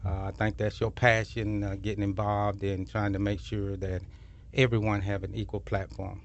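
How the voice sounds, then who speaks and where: A man's voice: lowish voice, normal speed, dull audio, a little hiss or hum. A man hosting a community radio talk show, chatting casually with frequent fillers and repeating details. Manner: relaxed, repeats phone numbers, frequent fillers.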